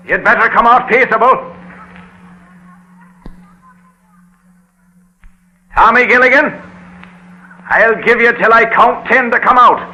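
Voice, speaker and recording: A man's voice: high voice, pushed and bright, heavily acted. An actor playing a dogged, resolute policeman in a 1950s radio drama, tense and intent. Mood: loud, commanding. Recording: dull, faintly noisy old AM radio broadcast.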